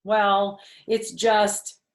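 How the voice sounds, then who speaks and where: A stern, sensible mother, voiced by a woman reading a storybook aloud. She speaks firmly and plainly, with clear disapproval, in an echoey room. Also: medium pitch, flat delivery, extremely slow. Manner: firm, disapproving.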